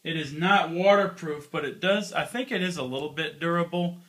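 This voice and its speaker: A man with a fairly high, bright and forceful voice talks through a piece of gear. He is informal and offhand, like a hobbyist reviewer showing how it works. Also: normal speed. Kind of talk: casual hands-on product review.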